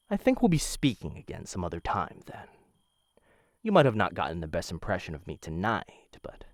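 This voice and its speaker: Male actor as aristocratic killer and possessive suitor: deep, courtly, softly menacing, close and dry. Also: dry recording. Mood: quietly threatening. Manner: courtly, measured, softly menacing, addresses listener as my dear. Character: smooth, dangerous young noble assassin, possessive suitor. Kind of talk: boyfriend ASMR roleplay, intimate scripted acting.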